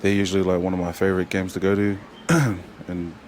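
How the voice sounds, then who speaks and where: A young man with a New Zealand accent, a rugby player chatting as a guest in a casual interview, halting and full of fillers and restarts. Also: low voice, monotone, darkish tone, slight background noise.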